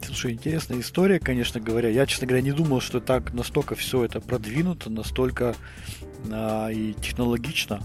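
A man's voice: medium pitch, a little fast, faint music behind. A man hosting a casual podcast, talking in a reflective, conversational way. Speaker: podcast host.

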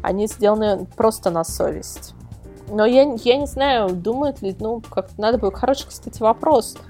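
A woman's voice: a little high, normal speed, warm tone, soft background music. A woman speaking as a guest reviewer in a casual podcast discussion, emphatic and repeating words for stress. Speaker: guest, product reviewer and website editor.

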